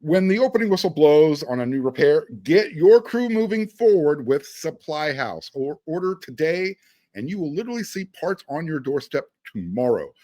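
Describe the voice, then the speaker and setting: A man's voice: a little high, sing-song, normal speed, dark tone, no room sound. A man hosting a sports podcast, talking casually and reading sponsor spots. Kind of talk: casual sports talk and ad reads.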